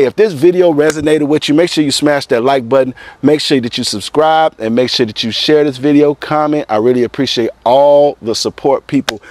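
A man's voice: medium pitch, darkish tone, no echo. A middle-aged man giving a motivational talk to camera outdoors on a lapel mic, preachy and repetitive, calling his viewers "people". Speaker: motivational vlogger. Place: outdoors in front of evergreen trees. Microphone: clip-on lapel mic.

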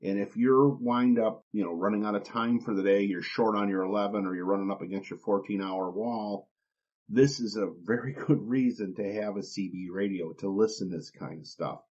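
Male trucker podcast host, low register, plain-spoken conversational delivery, slightly muffled lo-fi sound. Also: moderate pace, a little mellow. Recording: dull lo-fi audio.

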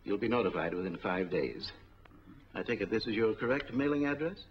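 An actor playing a middle-aged, skeptical bank officer, formal and probing, in a 1940s film drama. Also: male voice, on the low side, lively intonation, fairly quick, close to an everyday voice. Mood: formal, dry. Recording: noisy, dull 1940s film soundtrack.

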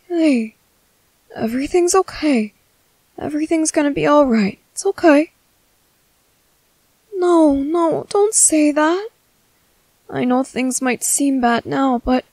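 A shy, tender young merboy, acted by a woman for an ASMR roleplay. The voice is high and soft, moving slowly and hesitantly as it soothes the listener. It sounds close and dry, with a caring, worried temper. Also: dry recording. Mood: tender, soothing.

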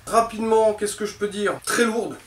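A man's voice: sits high, normal speed. A man in his thirties chatting casually to his viewers about new tools in his home workshop. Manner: chatty, informal, addresses viewers as "les gars". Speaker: woodworking workshop host. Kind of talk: casual tool unboxing talk. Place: cluttered home workshop indoors. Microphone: camera mic from a distance. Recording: roomy echo, distant mic, faint noise.

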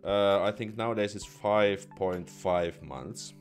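A man's voice: on the low side, steady tone, slow pace, soft background music. A young Finnish man with a Finnish accent hosting a live stream from a small room, speaking casually into a studio mic, with many restarts and fillers as he thinks aloud. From Finland. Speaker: host answering viewer questions. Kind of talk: casual live stream Q&A, reading chat comments. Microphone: studio condenser mic with headphones.

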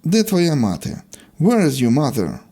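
A man's voice: fairly high pitch, wildly swooping pitch, moderate pace, dark tone, slightly rough. A man teaching a language lesson, reading out short, separate example sentences in an instructional way. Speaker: English teacher.

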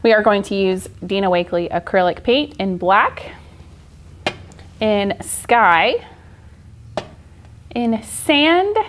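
A woman's voice: mid-range voice, a little hiss or hum. A woman in her forties giving an informal, encouraging art journaling tutorial in a casual style. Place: indoor art studio, seated at work table.

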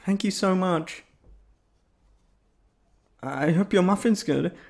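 Shy young first-date guy played by a man, higher-pitched, flustered and stammering, ASMR roleplay, echoey room sound. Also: slow pace, gravelly, a little hiss or hum, exaggerated voice. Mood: sheepish, eager. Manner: nervous, rambling, stammering. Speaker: voice actor.

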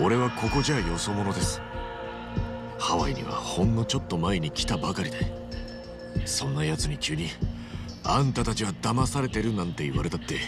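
A big-hearted tough man in his forties in a game drama. His voice is very low yet animated, blunt and respectful, pausing for emphasis over background music. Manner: rough but respectful, deliberate, emphatic. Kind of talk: dramatic game cutscene acting.

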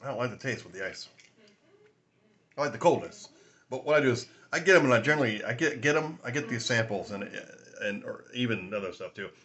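A man in his forties with a raspy voice from a sinus infection, rambling casually through a whiskey tasting vlog at home, filming on a phone at arm's length. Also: medium pitch, lively intonation, a little fast, a bit bright, a little hiss or hum. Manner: rambling, chatty, laughs often, many fillers. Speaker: whiskey review host. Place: small room at home, indoors.

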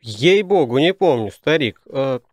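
A man's voice: mid-range voice, lively intonation, unhurried, no echo, clean studio sound. A man voicing a cheeky young son who talks back in a mocking, pompous way. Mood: smug mocking. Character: cheeky, smug, mock-learned young son.

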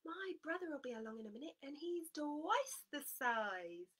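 A woman voicing a middle-sized male billy goat who talks his way out in a high voice. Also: big pitch swings, moderate pace, a bit bright. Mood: nervous.

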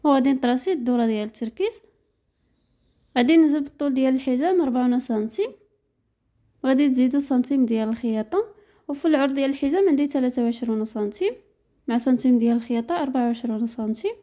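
Woman, Moroccan Arabic accent, sewing teacher doing a voice-over; quick, matter-of-fact, high and mellow. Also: lively intonation. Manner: brisk, matter-of-fact, reading out measurements. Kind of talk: step-by-step sewing tutorial voice-over.

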